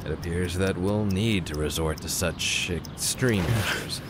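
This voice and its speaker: A man acts out a weary crime-gang family man in a radio drama. His low voice is hesitant and conversational, reluctant about the work. A clock ticks in clearly noisy ambience behind him. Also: measured pace. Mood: reluctant, grave. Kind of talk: naturalistic radio play acting.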